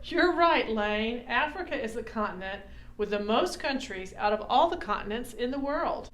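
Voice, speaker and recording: A woman's voice: fairly high pitch, expressive, normal speed, a bit bright. A woman hosting a children's puppet show, talking in a teacherly, scripted way to young children. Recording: echoey room.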